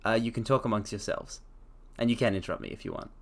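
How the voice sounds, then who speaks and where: A man streaming a dramatic reading of a classic story, chatting excitably to his viewers in between. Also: fairly low pitch, fast talker. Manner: excitable, rambling with fillers between passages.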